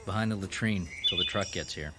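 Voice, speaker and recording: An actor playing a hard-bitten, sardonic soldier in a radio drama, curt and domineering. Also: male voice, low-pitched, brisk, heavy background noise, natural voice. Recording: outdoor ambience with buzzing flies.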